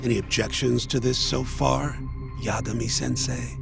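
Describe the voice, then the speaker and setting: An actor playing a gruff, cynical male police detective who talks in a sarcastic, crude, dismissive way, in a game scene with music behind. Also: mid-range voice, fairly even intonation, moderate pace. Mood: briefing. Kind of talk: game dub acting.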